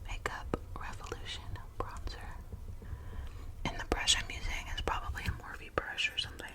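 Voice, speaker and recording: A young woman whispering a chatty, rambling makeup tutorial into a close mic, drifting into tangents and softly repeating words. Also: normal speed. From United States. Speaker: ASMR makeup vlogger.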